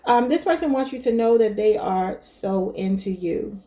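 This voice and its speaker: A woman reads tarot cards and chats straight to her viewers. Her voice is mellow and warm, at a middle pitch. She talks loosely and thinks out loud. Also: expressive, moderate pace. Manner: chatty, thinking aloud, addresses viewers as y'all. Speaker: tarot reader. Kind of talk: casual tarot reading to camera.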